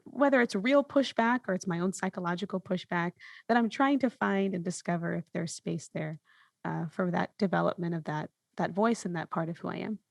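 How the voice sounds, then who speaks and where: Creaky-voiced woman hosting a podcast. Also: on the low side, brisk, dry recording, studio quality. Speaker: podcast host. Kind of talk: reflective podcast conversation.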